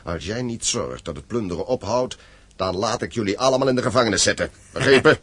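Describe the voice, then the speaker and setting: An actor playing a stern, wealthy mine owner in a radio drama, curt, proud and threatening. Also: male voice, lowish voice, animated, quick pace, a bit bright, breathy edge, dry recording. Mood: indignant, threatening.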